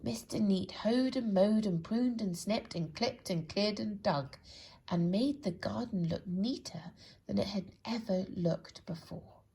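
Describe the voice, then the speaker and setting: A woman reads a children's bedtime story expressively and deliberately, speaking directly to young listeners with a breathy, husky voice. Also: medium pitch, warm tone, slight background noise.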